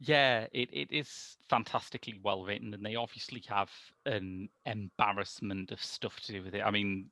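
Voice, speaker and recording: Creaky, low-voiced man reviewing films. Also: fairly even intonation, normal speed, hardly any pauses, sharp tone, slight background noise. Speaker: podcast host and film reviewer. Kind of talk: conversational film review. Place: small domestic room, indoors.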